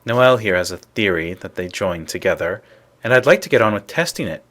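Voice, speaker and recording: An actor voicing a courteous, businesslike gentleman in a sci-fi video game, polite and formal. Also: lowish voice, lively intonation, a little fast. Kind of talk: scripted video game voice acting.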